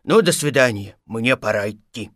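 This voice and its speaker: A man's voice: mid-range voice, animated, moderate pace, fairly bright tone, dry recording, clean studio sound, natural voice. A man playing a naive, greedy, good-natured toy bear in a children's audio tale, hesitant and childlike. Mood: putting on cheer.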